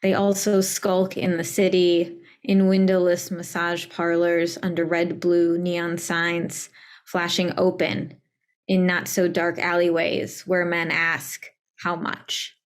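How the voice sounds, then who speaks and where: A woman reads her poetry in a low, even voice. She takes it very slowly and deliberately, with little rise or fall in her delivery. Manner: measured, deliberate recital. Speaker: poet reading own work. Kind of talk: poetry reading.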